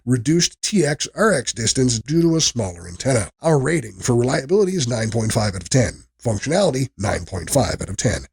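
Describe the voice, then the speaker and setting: A man reading a scripted product review as a narrator, with a husky, gravelly voice. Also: mid-range voice, darkish tone.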